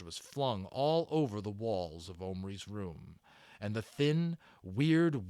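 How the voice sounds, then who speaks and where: A man reading a story aloud as a dramatic, expressive narrator, building suspense. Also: mid-range voice, dry recording, studio quality. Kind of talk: dramatic read-aloud with casual asides.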